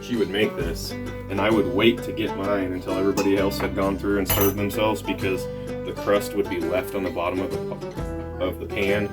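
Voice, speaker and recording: A man's voice: low-pitched, flat delivery, moderate pace, loud music behind the voice. A man hosting a family vlog, chatting casually and telling personal stories. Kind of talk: casual chat and personal storytelling.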